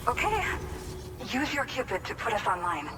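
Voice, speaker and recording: An actress playing a plainspoken, respectful outpost worker in a video game, casual and direct. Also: female voice, a little high, big pitch swings, moderate pace. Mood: frank, appreciative. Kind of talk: video game cutscene dialogue. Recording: distant mic, clear background noise.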